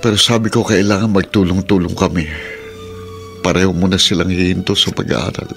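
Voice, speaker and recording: Weary filipino dad in a radio drama, heartbroken but resolute, lowish gravelly voice, music under him. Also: male voice, fairly even intonation, a little fast, fairly bright tone. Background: background music.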